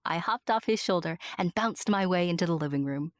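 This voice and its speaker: A woman's voice: fairly low pitch, a little fast, no echo, studio quality, lightly acted. A woman narrating a fantasy audio drama as a small, fond and earnest companion telling the tale first-hand. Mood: fond, wry.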